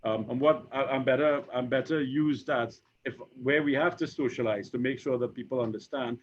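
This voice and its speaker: A Trinidadian man in his fifties, an insurance executive speaking on a panel, who talks haltingly with many repeated words and fillers. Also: mid-range voice, a little hiss or hum. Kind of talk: conversational panel discussion. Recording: dull lo-fi recording.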